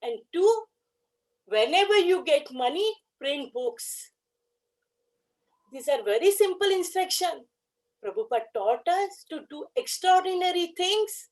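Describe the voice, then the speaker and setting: A woman giving an earnest devotional tribute talk, speaking in short phrases with pauses. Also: extremely high pitch, very animated, poor audio.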